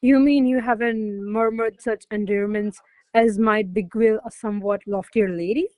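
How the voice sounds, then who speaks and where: A woman playing a haughty, jealous noble mistress in a classical radio play, speaking in a formal, imperious, measured manner. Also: medium pitch, fairly even intonation, warm tone, no room sound, natural voice, poor audio. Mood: probing, disdainful. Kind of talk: stage-style radio play acting of classical verse.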